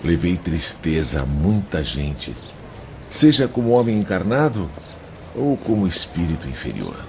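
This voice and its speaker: Casting: male actor as guilt-ridden spirit prisoner, very low, unhurried, grave confessional delivery for audiobook drama. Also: sing-song, darkish tone, heavily acted. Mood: remorseful, resigned. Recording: dull audio with faint background noise.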